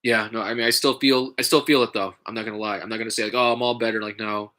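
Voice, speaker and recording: A man talks in a casual, halting way, full of fillers and restarts. His nose sounds stuffy and congested. His voice sits fairly low but has a bright edge. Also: steady tone. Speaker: vlogger. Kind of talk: casual rambling vlog.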